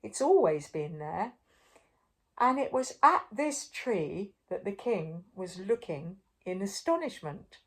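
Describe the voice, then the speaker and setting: An older woman reading a children's story aloud expressively, pausing for drama and putting questions to the listener. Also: medium pitch, expressive. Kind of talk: animated read-aloud to young children.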